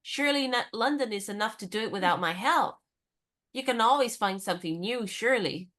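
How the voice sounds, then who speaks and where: A woman playing a plucky, independent American in her twenties in a radio comedy, speaking in a brisk, forthright, encouraging way. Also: fairly high pitch, expressive, a bit bright. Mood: matter-of-fact, assured. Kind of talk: radio play comedy dialogue.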